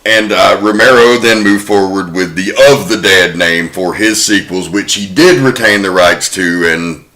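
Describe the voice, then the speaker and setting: Bright-voiced male podcast host. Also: sits low, a little slow. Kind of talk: casual chat and comic narration.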